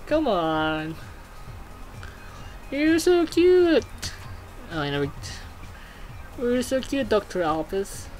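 An excitable gaming host giving casual commentary, exclaiming and swearing while reading game text aloud. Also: mid-range voice, slow pace, long pauses, faint music behind.

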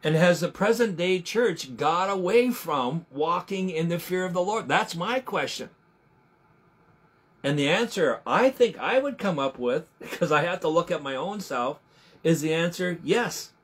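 Husky-voiced older man preaching informally. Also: a little high, animated, fairly bright tone. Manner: conversational, halting, with repeated words and rephrasing. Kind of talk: informal Bible teaching, sermon to camera. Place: small home room, indoors.

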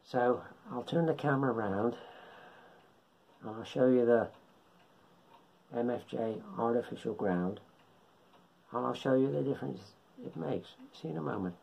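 A middle-aged man with a husky voice gives an informal beginners' tutorial, explaining haltingly in short phrases at home. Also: mid-range voice, darkish tone. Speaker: hobbyist radio instructor. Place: home living room.

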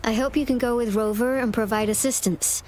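Actress as a composed, higher-pitched female commander. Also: steady tone, darkish tone, a little hiss or hum, close to an everyday voice. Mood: calm concern. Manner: measured, formal, reassuring. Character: composed adult female military commander, calm and caring. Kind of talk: video game voice acting. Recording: game music behind.

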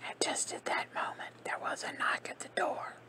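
A woman reading a comic novel aloud in a soft, steady whisper, in the style of an ASMR audiobook. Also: normal speed. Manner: soft unvoiced whisper throughout. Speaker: narrator.

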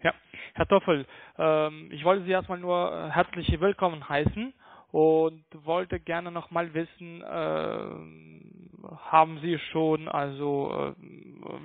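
A male sales agent on a narrow phone line speaks formally and hesitantly, pressing on politely. His voice is fairly high for a man, with a gravelly creak. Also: level tone, unhurried, no room sound. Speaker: cold-calling sales agent.

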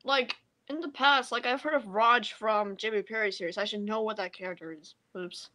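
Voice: mid-range voice, moderate pace, pushed and bright. A hesitant speaker thinking aloud while casually hosting a guessing game on a video call. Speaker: co-host of an online puppet show.

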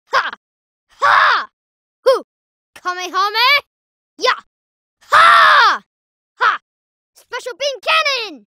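A very high-pitched, boyish martial-arts hero. He throws out short, fierce battle cries in a piercingly bright tone. His pitch leaps wildly with each burst. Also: dry recording, studio quality, heavily acted. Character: feisty young male cartoon fighter, boyish martial-arts hero. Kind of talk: video game battle voice clips, anime dub shouting.

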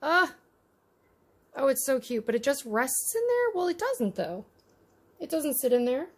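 A woman's voice: high-pitched, sing-song, unhurried. A woman giving casual, easily delighted unboxing commentary as she handles miniature toys. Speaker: host of a miniature toy unboxing.

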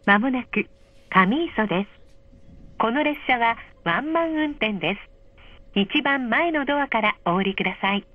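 Female train announcer, higher register, polite measured delivery, slightly lo-fi onboard speaker sound. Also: animated, no room sound. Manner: polite, measured, clearly enunciated. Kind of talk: scripted automated train announcement. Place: played over train car speakers. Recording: dull, muffled train speaker recording.

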